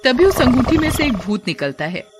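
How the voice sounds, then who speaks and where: A woman's voice: high-pitched, big pitch swings, a little fast, music in the background. A woman reading a scripted children's story as narrator.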